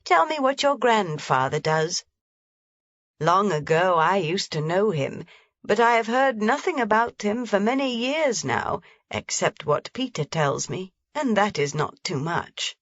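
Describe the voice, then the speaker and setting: Woman reading as a gentle, sad old grandmother. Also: medium pitch, big pitch swings, unhurried, dry recording. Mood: sorrowful. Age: over 60. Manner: soft, gentle, mournful. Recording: slightly dull, dry lo-fi recording.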